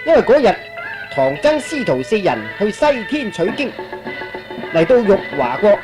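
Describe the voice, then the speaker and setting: Male narrator, animated storytelling, old radio drama, faint music. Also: high voice, normal speed.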